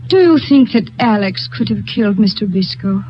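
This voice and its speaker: A woman's voice: mid-range voice, expressive, normal speed, close to an everyday voice. An actress playing a young European émigré woman in a 1950s radio drama, speaking formally and carefully, with polite hesitations. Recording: dull old radio broadcast.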